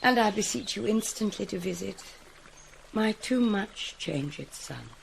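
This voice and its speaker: An actress playing a gracious, anxious middle-aged queen, speaking in a measured, courtly classical Shakespearean manner. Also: medium pitch, animated, vocal fry, a little hiss or hum. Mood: gracious, pleading. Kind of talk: classical Shakespearean drama acting.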